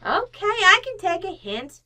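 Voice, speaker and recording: Lady doing a gabby cheeky housekeeper, super high bright voice, old radio sitcom, bossy and slangy. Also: very animated, unhurried, heavily acted. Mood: brash, nonchalant. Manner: blunt, familiar, gabby, slangy. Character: brash, nosy, slangy housekeeper woman, cheerfully insolent. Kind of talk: old-time radio comedy acting.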